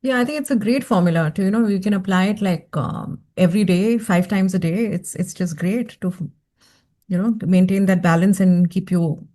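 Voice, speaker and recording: A woman's voice: medium pitch, normal speed, mellow. A woman hosting a podcast interview, easygoing and chatty with many fillers. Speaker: podcast host. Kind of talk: casual interview chat.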